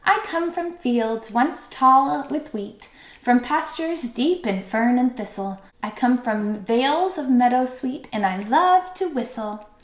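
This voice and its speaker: A woman voicing a gentle, polite little female bird in a soft, musical storybook voice. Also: a little high, lively intonation, slow, roomy sound. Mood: soft, lyrical. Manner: polite, poetic.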